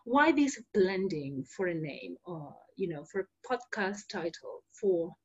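A woman's voice: on the low side, very animated, measured pace, husky, muffled recording. A woman in her thirties from Argentina, a native Spanish speaker, hosting a solo podcast in an earnest, explanatory way with fillers and restarts. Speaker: podcast host.

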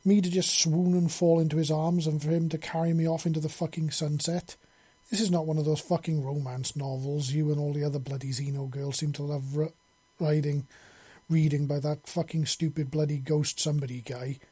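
A man's voice: on the high side, brisk, hardly any pauses, warm tone, husky. A man voicing a furious, embarrassed woman scientist in an audiobook story, snarling and swearing. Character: furious, flustered, embarrassed woman scientist, foul-mouthed mother.

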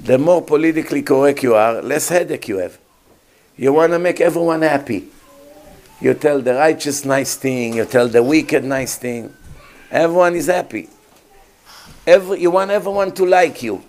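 A man's voice: a little high, moderate pace, darkish tone. Emphatic man preaching. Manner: emphatic, rhetorical questions to the audience. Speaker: rabbi giving a talk. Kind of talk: sermon.

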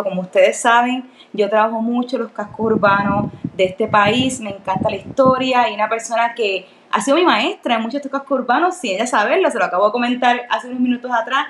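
A woman's voice: a little high, reverberant, slight background noise, rough recording. A woman hosting a podcast interview and introducing her guest with enthusiasm. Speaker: podcast host.

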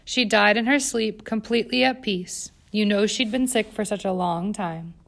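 A woman's voice: mid-range voice, moderate pace, creaky, fairly bright tone. A woman voicing a fussy, disdainful older female governess in a storybook reading, prim and scandalized. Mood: soothing.

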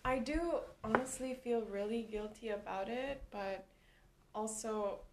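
Soft-spoken woman in an echoey room. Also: a little high, unhurried. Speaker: guest. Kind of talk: casual chat. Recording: echoey room with faint background noise.

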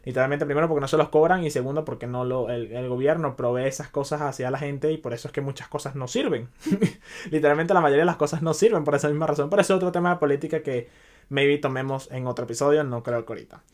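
A man's voice: fairly high pitch, fairly even intonation, fast talker, darkish tone. A young man from Venezuela with a Venezuelan accent, hosting a casual solo podcast in a loose, chatty way that drops English words in. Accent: Venezuelan Spanish. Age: young adult. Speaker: podcast host.

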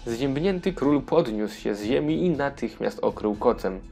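A man's voice: medium pitch, expressive, moderate pace, gravelly, warm tone, soft background music. A man narrating a children's audio fairy tale in a scripted storybook style.

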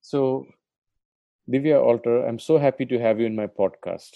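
A man's voice: medium pitch, moderate pace, gravelly, dry recording, dull audio. A man hosting a podcast, talking in a loose conversational way. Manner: conversational, loose phrasing with "like" and "you know". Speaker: podcast host. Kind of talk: podcast interview.

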